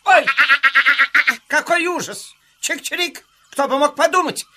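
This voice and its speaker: Naive small sparrow for children's audio story; high, bright voice, exclamatory. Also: female voice, animated, unhurried, full character voice. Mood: alarmed, chirpy. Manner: exclamatory, gullible. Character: chirpy naive little sparrow, easily amazed. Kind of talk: children's audio play acting.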